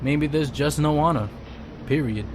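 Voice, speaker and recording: A man's voice: on the high side, unhurried, very noisy, lightly acted. A man playing a hard-boiled henchman who narrates in a brooding, terse, world-weary noir style for a comic-book drama. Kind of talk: noir first-person narration. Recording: loud music and gunfire behind.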